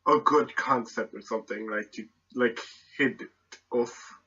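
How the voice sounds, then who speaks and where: A young man chats casually on a podcast over dull, lo-fi audio. His voice is bright and forward, and he talks hesitantly, repeating words as he goes. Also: medium pitch, unhurried, husky. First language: Spanish and French. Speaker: podcast guest.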